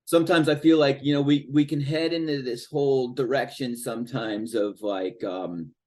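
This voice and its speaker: A man's voice: medium pitch, steady tone, normal speed, darkish tone. A man who plays in a band, rambling and searching for his words in a casual podcast chat. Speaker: musician in a band.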